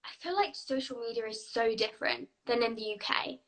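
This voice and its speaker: A woman's voice: fairly high pitch, poor audio. A young British woman, an actress, answering interview questions in a chatty, upbeat way. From United Kingdom. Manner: chatty, upbeat, quick to laugh.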